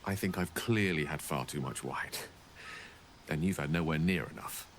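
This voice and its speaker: An actor playing a wistful, self-deprecating wizard in a video game, with a refined British accent and a theatrical, wordy manner. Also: male voice, rumbling low, normal speed, slight background noise. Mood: flustered, playful. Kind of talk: video game dialogue acting.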